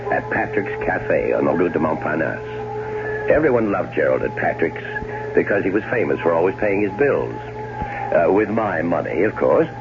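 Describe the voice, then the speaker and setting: A man's voice: low voice, sing-song, normal speed, gravelly, over music, full character voice. An actor playing a scheming, sardonic middle-aged American man in a radio drama, on a muffled, noisy old broadcast. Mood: smug, wry. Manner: sardonic, theatrical. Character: scheming, wry, well-off man plotting murder. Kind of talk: 1970s radio drama acting, first-person narration and dialogue.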